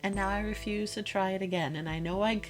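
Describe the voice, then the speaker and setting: A woman playing a hurt, exasperated girlfriend confronting her boyfriend in an intimate one-sided audio roleplay, speaking emphatically and haltingly and repeating herself. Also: medium pitch, steady tone, faint music behind, close to an everyday voice. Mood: exasperated, accusing.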